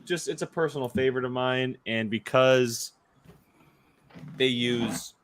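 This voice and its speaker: A man's voice: medium pitch, a little slow. A young man hosting a casual group chat, talking informally as he keeps the show moving. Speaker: host running a movie draft show.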